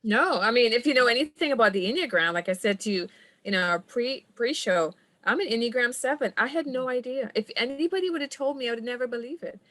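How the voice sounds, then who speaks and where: A woman's voice: a little high, animated, fast, fairly bright tone, no echo. A woman from Trinidad, a coach, speaking as a podcast guest in an earnest, reflective way and laughing often. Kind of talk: podcast conversation.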